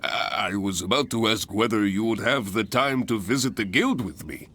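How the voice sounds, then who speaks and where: A man's voice: on the low side, moderate pace, fairly bright tone, a little hiss or hum, natural voice. A man voicing a pompous, kindly adventurer examiner in a video game, theatrical and fussy, with wordy formal phrasing. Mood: flustered. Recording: background music behind voice.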